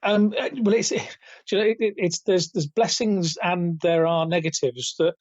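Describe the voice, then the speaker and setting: A man in his fifties with a raspy, somewhat high voice after illness, talking hesitantly with many restarts as an interview guest. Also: fairly even intonation, normal speed, darkish tone, dry recording. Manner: hesitant, many restarts, chuckles between points. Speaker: guest, retail author and company founder. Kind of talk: casual interview over a call. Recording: dull, dry remote call audio.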